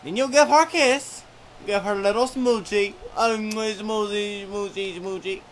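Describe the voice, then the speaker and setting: A man's voice: high-pitched, fairly even intonation, a little slow, slight background noise, rough recording. A man voicing an eager, silly chihuahua who gives playful, repetitive love advice. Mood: enthusiastic.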